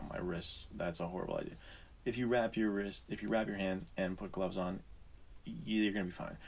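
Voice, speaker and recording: A man's voice: low voice, slight background noise. A man co-hosting a podcast in casual, informal chat, speaking in an earnest, encouraging way. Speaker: podcast co-host.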